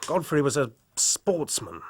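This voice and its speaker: Earnest young English rugby captain. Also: male voice, a little high, sing-song, measured pace. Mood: worried. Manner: hearty, polite public-school speech. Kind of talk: audio drama acting.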